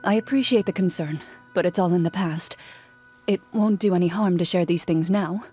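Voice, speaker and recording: A woman voicing a calm, reserved young duelist in an anime-style game, speaking formally and matter-of-factly. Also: lowish voice, moderate pace, faint music behind, close to an everyday voice. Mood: reflective.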